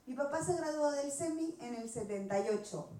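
A woman in her forties from Spain, with an accent from Spain, giving an animated personal testimony as a missionary speaking to a church gathering. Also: sits high, normal speed. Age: 40s. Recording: echoey room, distant microphone.

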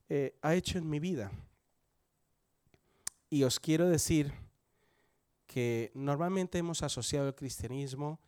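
A man's voice: a little high, darkish tone, no room sound, clean studio sound. Colombian man in his forties giving testimony. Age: 40s. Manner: conversational, asks the audience questions. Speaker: church member giving testimony. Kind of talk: testimony in a church service.

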